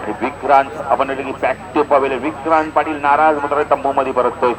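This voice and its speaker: A man's voice: medium pitch, steady tone, quick pace, poor audio. A man giving live commentary and announcements at a local outdoor cricket tournament. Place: outdoor village cricket ground. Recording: outdoor event sound, crowd behind.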